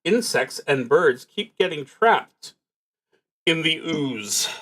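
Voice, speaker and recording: A man livestreaming a solo roleplaying game, reading prompts and thinking aloud in a halting, dryly wry way. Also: a little high, expressive, fairly bright tone, rough recording.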